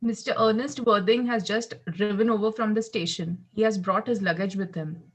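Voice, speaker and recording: A woman's voice: medium pitch, steady tone, moderate pace, close to an everyday voice, rough recording. A woman reading a deferential servant in a Victorian comedy table read, plain and polite.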